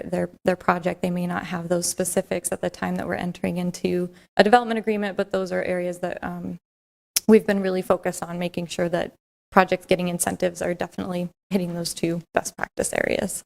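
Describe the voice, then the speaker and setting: A soft-spoken woman walks a council through policy in a level, even tone. Her voice sits a little low. She explains carefully and steadily, with the odd filler and restart. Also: creaky. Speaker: city staff presenter. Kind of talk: formal presentation at a council meeting.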